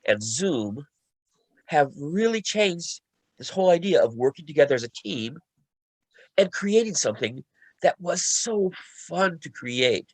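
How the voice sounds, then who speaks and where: A man's voice: a little high, big pitch swings, measured pace, no echo, poor audio. A man talking casually on a panel as a co-writer, often repeating himself and restarting phrases as he recounts a creative process. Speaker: radio play co-writer and panelist.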